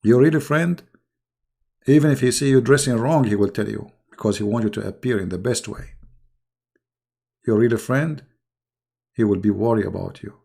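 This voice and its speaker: Hoarse, gravelly man mocking his opponents. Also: mid-range voice, moderate pace, pauses often. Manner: mocking, scornful, with sudden loud rises. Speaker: YouTube channel host. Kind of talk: casual polemical monologue to viewers.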